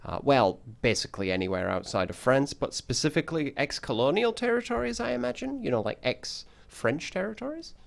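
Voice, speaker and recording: A man's voice: on the high side, big pitch swings, brisk, vocal fry, hardly any pauses. A man hosting a podcast, rambling and wry, often trailing off mid-thought. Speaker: podcast host. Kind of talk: casual podcast discussion.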